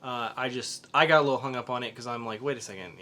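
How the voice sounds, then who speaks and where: Bright-voiced man hosting a geek podcast. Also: medium pitch, fairly even intonation, quick pace. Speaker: podcast host. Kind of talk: casual comics and film review chat.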